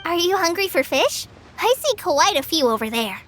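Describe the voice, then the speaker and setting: A woman voicing a gentle, earnest young girl who loves nature, in a video game. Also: extremely high pitch, very animated, normal speed, slight background noise, exaggerated voice.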